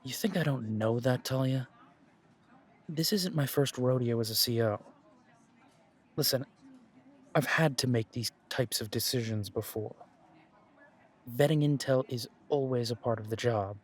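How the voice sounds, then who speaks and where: A man playing a steady werewolf pack leader. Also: medium pitch, slightly rough. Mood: firm, protective. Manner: earnest, measured, reassuring, with dry humour. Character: steady, protective male werewolf pack leader, wry and dutiful. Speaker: voice actor in audio roleplay. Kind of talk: scripted audio roleplay drama.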